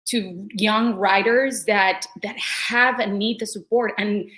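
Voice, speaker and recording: A woman's voice: medium pitch, steady tone, takes it slowly, fairly bright tone, low-quality recording. An effusive woman speaking informally as a panelist in a casual discussion. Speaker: panelist, nonprofit co-founder.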